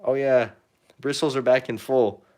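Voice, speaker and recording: A young man chatting casually while painting on a live stream, rambling and self-deprecating, his pitch rising at the ends of phrases. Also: steady tone, unhurried, darkish tone. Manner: rambling, self-deprecating, reads chat comments aloud. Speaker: painting livestreamer.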